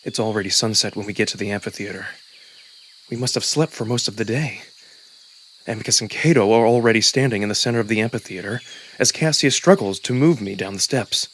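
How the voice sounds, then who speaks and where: A man giving a suspenseful, expressive first-person narration of a dramatic story. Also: on the low side, fairly quick, breathy edge. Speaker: narrator and voice performer. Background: background music.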